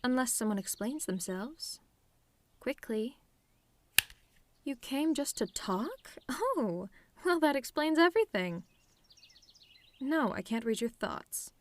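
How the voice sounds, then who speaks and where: A woman playing a telepathic villainess in an ASMR roleplay, sardonic and theatrical, menacing with a tender streak, in deliberate phrasing. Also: sits high, very animated, slight background noise, full character voice. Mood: sardonic, probing. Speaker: audio roleplay performer.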